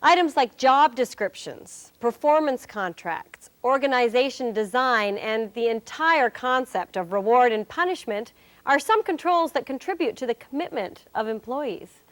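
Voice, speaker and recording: A woman's voice: a little high, lively intonation, measured pace. A woman acting as a wry, cheerful presenter, giving scripted instruction in a corporate training film. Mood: instructive, playful.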